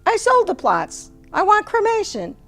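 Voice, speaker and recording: A woman's voice: very high-pitched, wildly swooping pitch, a little slow, a bit bright, faint music behind, voice pushed up, full character voice. A woman voicing a blunt, restless mother in her seventies in a dramatic story reading, with abrupt, emphatic declarations. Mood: insistent. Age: 70s.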